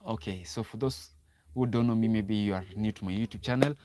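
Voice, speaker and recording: A man's voice: lowish voice, brisk, dark tone. A young Kenyan man hosting a casual livestream, often repeating words and restarting sentences as he talks to viewers. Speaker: livestream host.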